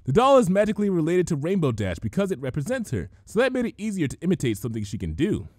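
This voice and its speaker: Man as prim young female unicorn for a storybook. Raised pitch, precise, easily flustered, dry sound. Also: huge pitch swings, fast talker, darkish tone, breathy edge, dry recording, full character voice. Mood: modest.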